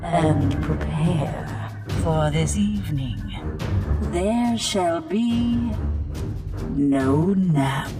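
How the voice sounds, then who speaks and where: A woman's voice: low-pitched, expressive, very slow. A woman reading a spooky scripted show intro in a solemn, theatrical manner. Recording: distant voice under loud music. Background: loud music.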